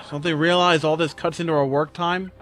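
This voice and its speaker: A man playing a gruff, impatient farm overseer in an audio drama, curt and grumbling. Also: fairly high pitch, flat delivery, moderate pace, fairly bright tone, lightly acted. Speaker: voice actor.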